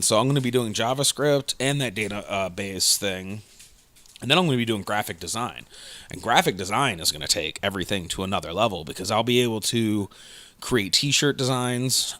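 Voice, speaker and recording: Rambling podcast dude, lots of likes and ums, super dry sound. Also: male voice, lowish voice, expressive, brisk, pushed and bright, no room sound. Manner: rambling, lots of fillers and restarts. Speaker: podcast co-host. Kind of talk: casual chat.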